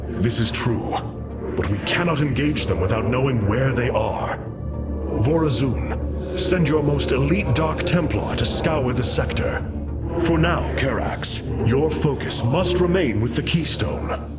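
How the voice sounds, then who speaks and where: A man's voice: mid-range voice, a little slow, natural voice. A man playing a noble, resolute alien commander in a video game, with formal, commanding and earnest oratory. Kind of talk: video game cutscene acting. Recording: game dialogue under loud music.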